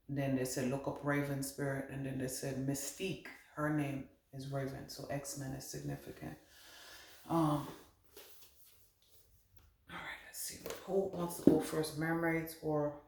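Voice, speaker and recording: A man's voice: on the high side, little pitch movement, unhurried, vocal fry, long pauses, a little mellow, slight background noise. A man working as a medium, giving a meandering channeled card reading and relaying what spirits tell him. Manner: meandering, thinks aloud, relays spirit messages. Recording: roomy echo.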